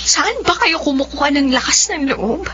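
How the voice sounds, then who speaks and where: A woman playing a bright-voiced young heroine. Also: sits high, expressive, normal speed. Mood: tearful anger. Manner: tearful, accusing, then soft and whispery. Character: young woman Nanette, hurt and grieving. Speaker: lead character. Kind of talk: dubbed melodrama acting. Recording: dull lo-fi sound with faint music.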